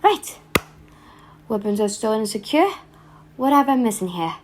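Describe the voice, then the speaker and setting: A woman playing a sharp-tongued, hostile female adventurer in an audio drama, curt and biting. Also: a little high, big pitch swings, measured pace, noisy background. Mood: brisk.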